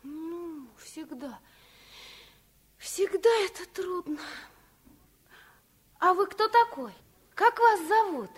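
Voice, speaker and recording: Little girl, radio drama: very high, unhurried, naive and bluntly honest. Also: animated, exaggerated voice. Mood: frank, shy. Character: little girl, earnest, frank, tender daughter. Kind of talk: 1950s Soviet radio play acting.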